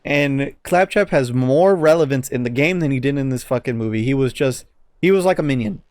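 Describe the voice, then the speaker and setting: A man's voice: medium pitch, a little fast, darkish tone, no echo, studio quality. A man co-hosting a podcast, giving a casual, exasperated and profanity-laced movie review. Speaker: podcast co-host.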